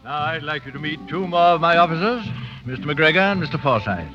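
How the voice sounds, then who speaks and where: An actor playing a stern British colonel, clipped, formal and commanding, in 1930s radio drama style. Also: male voice, on the high side, expressive, quick pace, close to an everyday voice. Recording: dull 1930s radio recording, faint music.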